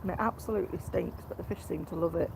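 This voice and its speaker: Hoarse woman, croaky. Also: fairly low pitch, quick pace. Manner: speaks quietly, greets viewers. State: hoarse from a cold. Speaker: vlog host live-streaming fishing. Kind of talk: casual live-stream chat. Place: outdoors by a fishing lake. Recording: noisy outdoor live-stream audio.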